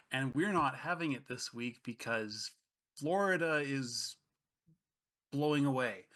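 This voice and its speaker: A man's voice: medium pitch, sharp tone. A man introducing himself casually on a tabletop gaming stream, with a self-deprecating, dryly joking manner. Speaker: game master and player on gaming livestream.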